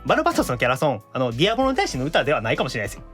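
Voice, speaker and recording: A man's voice: medium pitch, huge pitch swings, very fast, a bit bright, faint music behind. A man hosting a casual podcast chat, politely guiding and bantering with his guest. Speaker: podcast host and voice actor.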